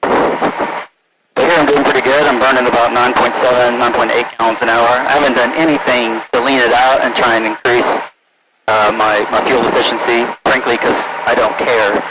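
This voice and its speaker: A man's voice: medium pitch, level tone, normal speed. A man working as a private pilot, casually explaining flying as he goes over a headset intercom. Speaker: private pilot narrating his flight. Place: small propeller plane cockpit in flight. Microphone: aviation headset through intercom. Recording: loud engine drone, aviation headset intercom. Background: loud engine noise, radio traffic.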